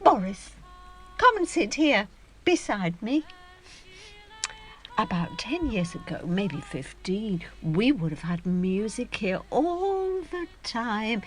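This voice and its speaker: An imperious middle-aged mother in a radio play. She speaks in a slow, deliberate way with big swoops of pitch. Her delivery is theatrical and sardonic, with a wounded edge. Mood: wistful, nostalgic. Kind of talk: audio drama acting.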